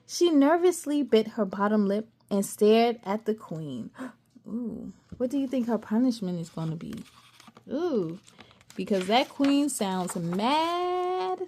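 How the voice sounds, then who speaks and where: A woman reading a children's story aloud expressively, adding gasps and excited asides. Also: fairly high pitch, slow, slight background noise.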